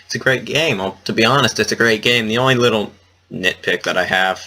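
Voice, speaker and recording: A man's voice: lowish voice, brisk, pushed and bright. Self-deprecating man doing casual game commentary. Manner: self-deprecating, awkward, rambling. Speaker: let's player. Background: game music.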